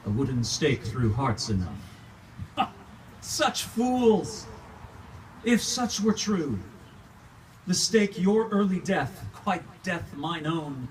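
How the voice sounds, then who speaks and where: Far off mic, guy doing a heartbroken old vampire count, californian accent, slow dramatic stage monologue, bitter and anguished. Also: high voice. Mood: bitter, scornful. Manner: theatrical, declamatory, anguished, archaic poetic phrasing. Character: grieving, embittered centuries-old vampire count, lovelorn, raging at God. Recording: distant live room recording, faint noise.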